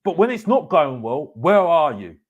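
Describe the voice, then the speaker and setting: A man hosting a live football fan reaction show, giving casual opinions in an emphatic way, repeating phrases for effect and often breaking sentences up haltingly. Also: a little high, sing-song, slightly rough, muffled recording.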